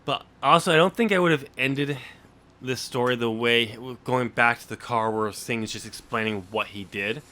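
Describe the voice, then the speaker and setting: A man with a bright, forceful voice at an ordinary pitch hosts a relaxed book discussion. He speaks in a halting way, breaking off and restarting his sentences as he works through a thought. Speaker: podcast host and novelist.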